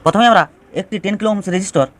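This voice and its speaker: A man's voice: sits high, racing, a bit bright, slight background noise. A man giving an informal step-by-step DIY electronics tutorial, explaining and restating phrases as he goes.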